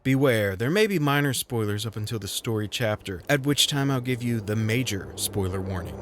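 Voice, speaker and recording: A man's voice: on the low side, expressive, a little fast. A man hosting a scripted video game review, narrating with a wry, slightly theatrical manner. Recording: music behind the voice. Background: music.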